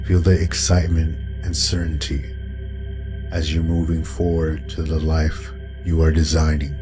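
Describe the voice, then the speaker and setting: Very deep, slow male meditation guide. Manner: calm, soothing, unhurried delivery. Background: meditation music with singing bowl tones.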